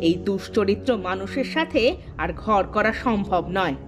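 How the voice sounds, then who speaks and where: A woman voicing a quarrelsome, suspicious, sharp-tongued village wife in a comic folk-tale cartoon, with theatrical scolding and wailing. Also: medium pitch, lively intonation, normal speed, music in the background, natural voice. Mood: jealous, wailing.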